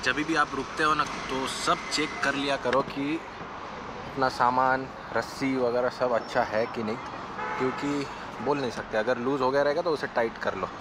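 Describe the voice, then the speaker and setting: A man's voice: mid-range voice, fairly even intonation, normal speed. A young man casually narrating a road-trip vlog from inside his car and at roadside stops, explaining routes and tips to his viewers. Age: young adult. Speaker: travel vlogger. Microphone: small camera held or mounted close. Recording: road and wind noise behind.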